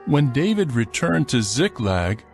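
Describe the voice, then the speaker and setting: A man narrating scripture from a script in a solemn, measured storytelling manner. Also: medium pitch, sing-song, faint music behind.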